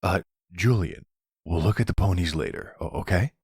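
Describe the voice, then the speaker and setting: A man acting in an audio roleplay as a charming, courteous mobster boyfriend with a smooth, measured manner. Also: deep voice, a little mellow, dry recording, studio quality. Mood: gentle, firm. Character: charming, suave male mobster boyfriend, adult.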